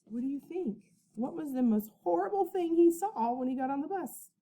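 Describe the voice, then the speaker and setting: A woman teacher reading a children's storybook aloud with dramatic emphasis, pausing to put questions to young listeners. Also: high voice, expressive, mellow. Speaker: first-grade teacher.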